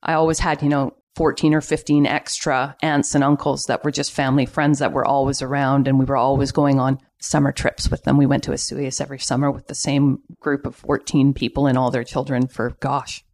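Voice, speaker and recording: A middle-aged woman with a Canadian accent, chatting casually and reminiscing as an interview guest, quick to laugh. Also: extremely low pitch, little pitch movement, darkish tone. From Vancouver, Canada. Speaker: podcast guest, cookbook author.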